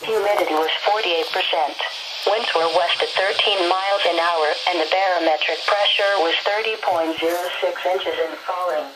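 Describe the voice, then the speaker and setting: A woman's voice: lowish voice, normal speed, over a noisy crowd. A synthetic woman's voice reading scripted weather observations as a radio announcer, formal and impersonal, in clipped phrases. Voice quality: synthetic text-to-speech voice. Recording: very noisy weather radio broadcast. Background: loud radio static.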